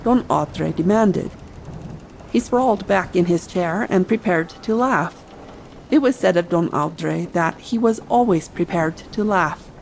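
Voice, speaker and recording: A woman's voice: lowish voice, measured pace, creaky, a little mellow, a little hiss or hum, poor audio. A woman narrator reading a scripted adventure audiobook meant for bedtime listening. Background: ambient sea sound bed.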